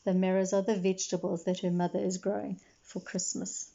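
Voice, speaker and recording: A woman storyteller reading aloud to young children in expressive narration, explaining things simply as she goes. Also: mid-range voice, fairly quick, creaky, lo-fi sound.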